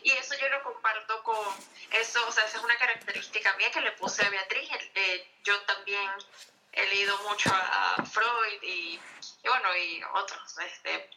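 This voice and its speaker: Bright-voiced Venezuelan woman novelist. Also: medium pitch, normal speed, slight background noise, poor audio. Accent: Venezuelan Spanish. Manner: chatty, lots of fillers, laughs often. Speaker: novelist guest. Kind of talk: radio interview answers.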